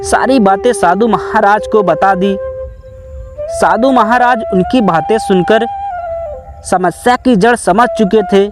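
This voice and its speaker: A man narrating a scripted moral tale in a measured, deliberate way, phrase by phrase. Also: high-pitched, music in the background. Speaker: storyteller.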